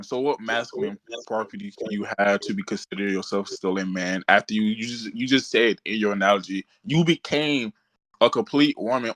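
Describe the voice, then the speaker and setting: A man's voice: on the low side, a bit bright, no echo, rough recording. A man in a casual online debate, blunt and slangy, pressing his opponent with questions.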